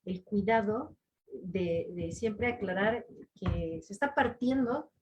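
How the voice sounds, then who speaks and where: A woman taking part in a conference discussion, making measured, precise clarifications. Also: medium pitch, lively intonation, lo-fi sound, noisy background. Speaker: conference participant. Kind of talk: scholarly discussion.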